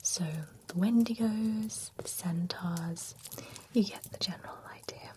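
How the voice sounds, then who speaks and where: A woman's voice: mid-range voice, unhurried, slight background noise, close to an everyday voice. A woman whispering an ASMR roleplay as a female vampire spa groomer who chats politely while she trims a client's hair. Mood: soothing. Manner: soft whisper, polite salon chatter.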